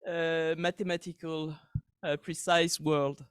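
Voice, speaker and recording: Man, high and smooth voice, loud audience questioner who restarts often. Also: flat delivery, takes it slowly, no echo, studio quality. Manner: loud, hesitant, stumbling restarts. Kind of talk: conference question.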